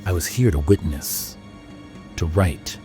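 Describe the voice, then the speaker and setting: A man reading scripted first-person horror as an audiobook narrator, ominous and deliberate in his phrasing. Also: low voice, dark tone. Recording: music under the voice.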